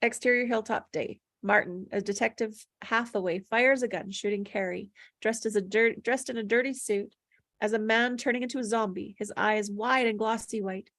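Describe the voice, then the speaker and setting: A woman's voice: a little high, steady tone, normal speed, fairly bright tone, dry recording, clean studio sound. A woman reading stage directions aloud at a table read, plainly descriptive, with occasional stumbles over the words.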